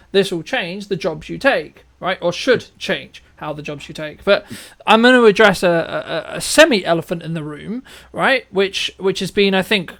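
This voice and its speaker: High-pitched man coaching emphatically in conversation. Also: fairly bright tone. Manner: emphatic, repetitive, punctuates points with checking tags. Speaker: interviewer and business coach. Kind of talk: conversational interview, advising viewers.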